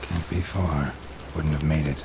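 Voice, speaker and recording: Low, gruff, deadpan monster hunter. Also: male voice, measured pace, noisy background, exaggerated voice. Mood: grim, observant. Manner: deadpan, laconic. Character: gruff, dry-witted male monster hunter in fantasy game.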